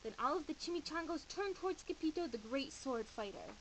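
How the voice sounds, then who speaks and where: A woman reading a children's bedtime story aloud in an expressive, animated way with dramatic emphasis. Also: high voice, a little fast, rough recording. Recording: faint hiss behind voice.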